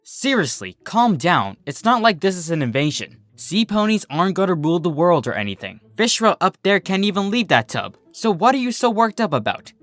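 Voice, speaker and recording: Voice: medium pitch, sing-song, brisk, hardly any pauses, fairly bright tone, no room sound, faint music behind, voice pushed up. Narrator as a nonchalant, wry female cartoon pony. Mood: unbothered. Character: laid-back, cider-loving young female pony.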